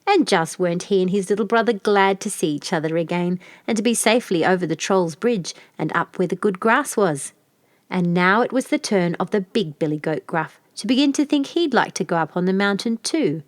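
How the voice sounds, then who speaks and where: A woman narrates a bedtime fairy tale for children. Her reading is expressive, with pitch that rises and falls widely, and the sound is close and dry. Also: a little fast, dry recording. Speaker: storyteller. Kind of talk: scripted children's storybook narration.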